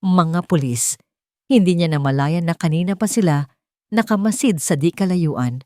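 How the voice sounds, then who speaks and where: Lowish dramatic woman narrator, love story, dry booth sound. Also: moderate pace, a little mellow, no room sound. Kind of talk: scripted romance drama narration.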